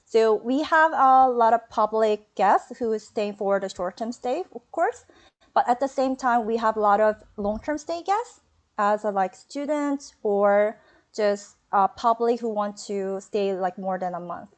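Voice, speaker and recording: A woman with a Korean accent, a former student answering questions in a webinar interview, speaking haltingly and pausing to search for words. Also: fairly high pitch.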